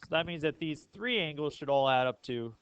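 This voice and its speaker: A man's voice: a little high, lively intonation, brisk, a bit bright, highs cut off, a little hiss or hum. A man teaching a math class step by step, often checking understanding with questions. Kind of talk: classroom lesson, explaining step by step.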